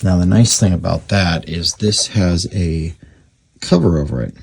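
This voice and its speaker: A man giving casual, hands-on repair commentary in a gravelly, husky voice, thinking aloud informally as he works. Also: deep voice, measured pace, darkish tone. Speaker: radio repairer presenting his restoration.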